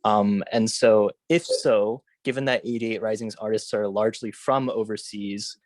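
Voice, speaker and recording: A man's voice: lowish voice, steady tone, moderate pace, no echo. A young man in the audience politely asking a question, with frequent hesitations. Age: young adult. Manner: polite, hesitant, many ums.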